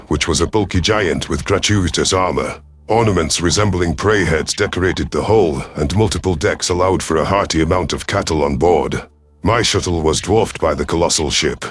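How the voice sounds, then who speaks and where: A man voicing a cold, calculating alien predator commander in a sci-fi audio story, imperious and menacing, with a rough husky voice. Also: sits low, a bit bright. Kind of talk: dramatic first-person sci-fi audio story.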